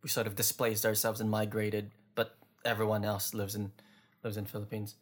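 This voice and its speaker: A man co-hosting a podcast in casual chat, relaxed and rambling, with lots of fillers and easy laughter. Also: lowish voice, normal speed. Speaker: podcast co-host.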